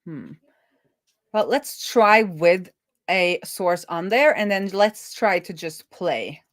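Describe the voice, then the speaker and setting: A woman with a fairly low voice talks through her code as she works on a livestream. She sounds casual and playful, with an animated delivery. The recording is dry and close, with little room sound. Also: slow pace, dry recording. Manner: animated, playful, breaks into laughter and song. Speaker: live-coding streamer, web developer. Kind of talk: casual think-aloud coding chat.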